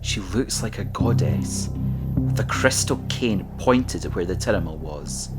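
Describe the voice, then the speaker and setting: A man reading scripted narration for an audio drama, describing the action in short measured sentences. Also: sits low, animated, over loud music.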